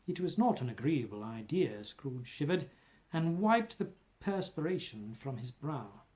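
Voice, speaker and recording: A man reading a classic story aloud as an amateur narrator, with expressive scripted delivery. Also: medium pitch, unhurried. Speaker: amateur audiobook reader.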